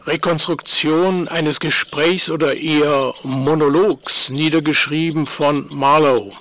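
An actor playing a matter-of-fact archivist narrator in a radio drama, presenting documents in a precise, factual manner. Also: male voice, a little high, fairly even intonation, slow pace, pushed and bright. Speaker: announcer of documents.

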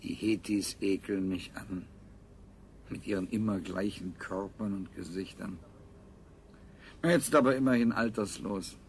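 A man's voice: fairly low pitch, measured pace, pauses often, slight background noise. An actor playing a haughty technocrat king in a radio play, cold and pompous. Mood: disgusted. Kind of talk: 1970s German radio play acting.

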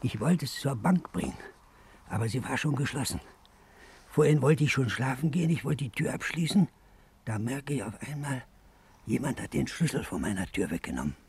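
A man's voice: medium pitch, lively intonation, moderate pace, a little mellow, a little hiss or hum, natural voice. A man acting an anxious, suspicious, secretive character in a 1950s radio play, speaking in a nervous, confiding way. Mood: worried, suspicious. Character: anxious, secretive man clutching his money, fearful, suspicious.

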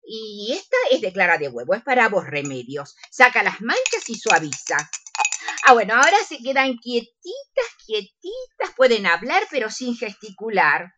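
A woman acts out a prim older Argentine widow in a radio comedy. Her bright voice swings through lively pitch as she fusses and coaxes, meek and self-sacrificing. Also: normal speed, exaggerated voice. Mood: fussing, coaxing. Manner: coaxing, chatty, fond of diminutives. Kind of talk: radio theatre comedy acting.

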